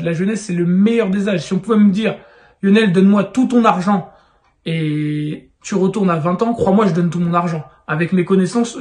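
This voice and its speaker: A man's voice: high voice, a little mellow. A man in his thirties giving casual, urgent real estate advice straight to the viewer in a vlog. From France. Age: 30s. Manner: urgent, persuasive, addresses viewer informally. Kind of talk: direct-to-camera advice vlog.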